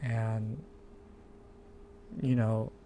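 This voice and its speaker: A man hosting his own podcast, introducing himself in a low monotone voice and stopping often with fillers and restarts. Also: drawn-out words, slight background noise. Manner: hesitant, many fillers and restarts. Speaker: podcast host. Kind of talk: casual self-introduction monologue. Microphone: desktop USB microphone.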